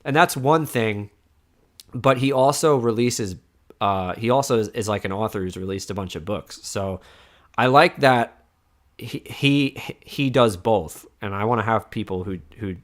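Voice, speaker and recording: Low-voiced rambling male podcast host. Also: measured pace. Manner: rambling, many filler likes and restarts. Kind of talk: casual chat.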